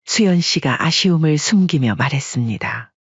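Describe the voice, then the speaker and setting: A narrator reading a family story in a calm, even storytelling manner, recorded dry and slightly dull. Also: mid-range voice, normal speed, husky, dry recording.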